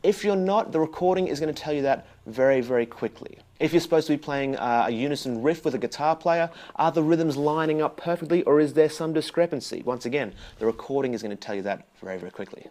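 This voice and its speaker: A young man teaching bass, talking straight to the viewer in a chatty, explaining way and asking questions as he goes. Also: animated, fast, hardly any pauses, darkish tone. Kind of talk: direct-to-camera instructional talk.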